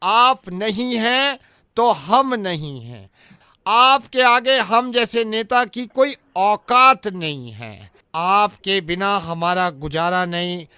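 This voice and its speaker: A man playing a pleading, flattering politician who begs for votes in slow, servile, drawn-out oratory on a prank phone call. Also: high voice, smooth, no room sound, studio quality, exaggerated voice. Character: pleading, flattering male politician begging for votes, turning rude.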